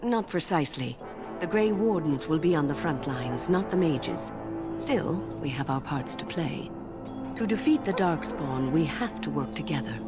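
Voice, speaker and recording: An actress playing a wise, kindly older mage in a fantasy game, composed, formal and gently instructive. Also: female voice, deep voice, expressive, moderate pace, loud music behind the voice. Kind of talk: dramatic fantasy game voice acting.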